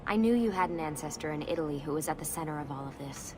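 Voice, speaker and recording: A woman's voice: low voice, expressive, fast. A woman playing a serious, determined young team leader in a video game, matter-of-fact and guarded. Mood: sombre, guarded. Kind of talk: video game cutscene dialogue. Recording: clear background ambience noise.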